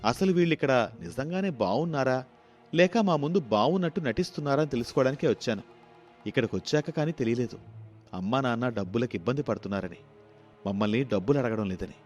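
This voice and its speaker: A man's voice: mid-range voice, expressive, fast talker, a little mellow, lo-fi sound, faint music behind, close to an everyday voice. A man voicing a caring, dutiful grown son with an earnest, pleading manner in a moral story. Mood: earnest concern.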